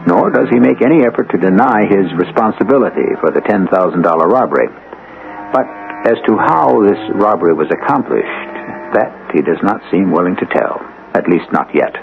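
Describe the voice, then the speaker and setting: A man narrates a radio mystery as host, measured and portentous. His low voice moves dramatically over clear music, on a thin old broadcast. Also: lively intonation, narrow, tinny audio.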